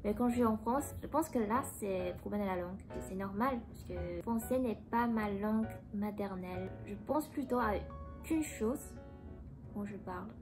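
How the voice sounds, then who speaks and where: A young woman from Taiwan, speaking French as an in a casual, hesitant chat while answering a quiz. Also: mid-range voice. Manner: soft-spoken, hesitant, pauses to find words. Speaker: vlogger. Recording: background music under the voice.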